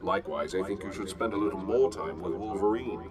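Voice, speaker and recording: A man's voice: low voice, brisk, over a noisy crowd, natural voice. A man voicing a grave older professor and mentor, formal and admonishing, in a motion comic. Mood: grave, advising.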